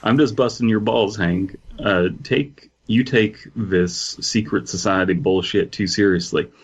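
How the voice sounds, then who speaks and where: A man's voice: fairly low pitch, a little slow, slightly rough, lo-fi sound. A man reading the part of a sarcastic, teasing man on the phone, mocking and offhand.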